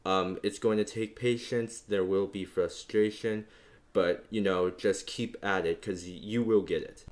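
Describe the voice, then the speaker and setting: A young man with a very low bass voice walks the listener through beatbox sounds. He talks casually and rambles a bit, leaning on fillers as he explains. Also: a little slow. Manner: relaxed, rambling, many fillers. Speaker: beatbox tutorial host. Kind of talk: casual instructional talk.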